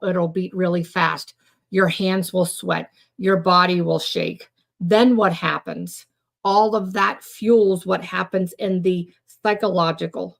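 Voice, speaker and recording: A woman hosting a weekly live stream as a trauma coach, her voice hoarse and raspy after days of recording, rambling with many fillers and restarts. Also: lowish voice. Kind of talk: informal live-stream teaching.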